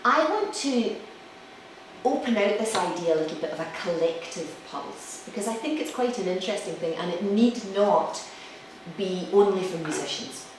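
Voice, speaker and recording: A woman giving a live explanatory talk as a musician, with halting pauses. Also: medium pitch, animated. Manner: explanatory, halting pauses, counts beats aloud. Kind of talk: live lecture with demonstrations. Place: indoor room with an audience. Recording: distant mic, very echoey room, faint noise.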